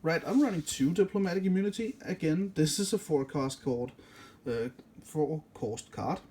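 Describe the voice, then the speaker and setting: A man's voice: on the high side, animated, normal speed, warm tone, a little hiss or hum. A man with a Danish accent, a native Danish speaker, casually walking through a card game deck, rambling and correcting himself often. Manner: rambling, self-correcting, many fillers.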